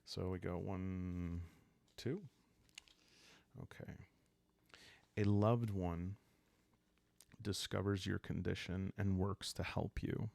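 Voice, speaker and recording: A man calmly narrating a solo roleplaying game in an ASMR style, soft and halting as he thinks aloud. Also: sits low, gravelly, no room sound. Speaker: host and solo player of a journaling roleplaying game.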